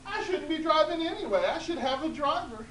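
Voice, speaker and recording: Woman putting on a shrill, demanding old woman. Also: animated, a little fast, a bit bright. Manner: shrill, pleading, insistent. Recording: echoey room, distant mic, hum.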